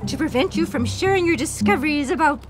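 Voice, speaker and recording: Exasperated sarcastic girlfriend on the run, very high voice, swooping intonation, emphatic, sci-fi audio comedy. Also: expressive, fast, music in the background.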